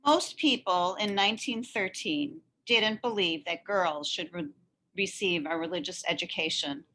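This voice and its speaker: A woman reading a children's story aloud in an expressive, animated narrating style. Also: on the low side, measured pace. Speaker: story reader.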